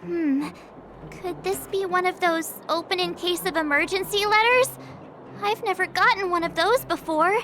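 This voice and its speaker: An actress voicing a perky, chatty young girl adventurer in a video game, with an expressive, questioning manner. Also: extremely high pitch, normal speed, a little hiss or hum. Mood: curious. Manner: expressive, questioning, easily indignant. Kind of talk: anime-style game voice acting.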